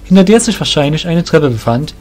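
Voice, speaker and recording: A man's voice: fairly high pitch, lively intonation, brisk, darkish tone, faint music behind. A man reading scripted narration for a fantasy audio drama.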